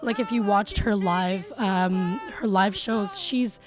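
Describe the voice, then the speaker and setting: A woman in her twenties with a raspy voice, a musician talking sincerely and chattily in an interview. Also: medium pitch, slow pace, over music. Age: 20s. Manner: sincere, chatty, laughs often. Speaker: musician guest. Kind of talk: casual interview talk.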